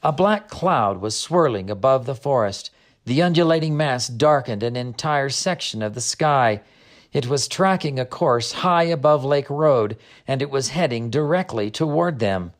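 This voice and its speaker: Measured male storybook narrator. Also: medium pitch, lively intonation. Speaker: narrator, author reading own book.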